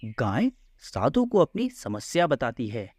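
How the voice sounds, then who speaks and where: A man narrating a scripted children's story in an expressive, deliberate storyteller's manner. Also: medium pitch, dry recording, clean studio sound.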